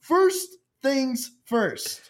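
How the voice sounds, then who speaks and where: A man hosting a sports podcast, playful and teasing, who rattles off sponsor plugs quickly. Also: very high-pitched, lively intonation. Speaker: podcast host. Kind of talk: casual sports podcast chat.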